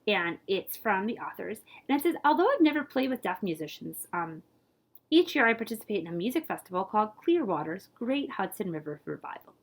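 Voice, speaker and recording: Very animated woman reading a storybook aloud. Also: medium pitch, fairly quick. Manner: animated, playful with scat-like sound words. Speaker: librarian reading a picture book aloud. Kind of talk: expressive children's read-aloud with asides to listeners.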